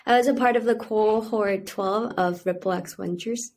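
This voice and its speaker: A woman's voice: medium pitch, normal speed, darkish tone. A young woman from Thailand, a university student, talking earnestly in a casual interview, with a lot of "you know" and "like". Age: 20s. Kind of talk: casual video-call interview.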